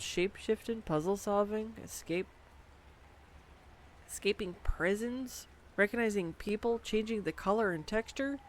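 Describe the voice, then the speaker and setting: A woman voicing an excitable, nerdy young girlfriend who rambles enthusiastically with giggles in a scripted audio roleplay, recorded on a condenser microphone. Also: medium pitch, a little hiss or hum, close to an everyday voice. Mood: excited. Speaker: voice actor. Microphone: USB condenser microphone.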